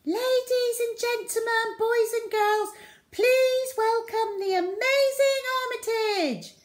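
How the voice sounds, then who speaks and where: A woman voicing an excited little storybook girl, calling out in a high, loud, ringing voice. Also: slow, echoey room. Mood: proud, announcing.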